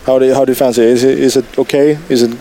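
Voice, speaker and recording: A man's voice: mid-range voice, level tone, racing, dark tone. A man co-hosting a casual street interview outdoors, chatty and jokey, often repeating his questions. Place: outdoors on a city sidewalk.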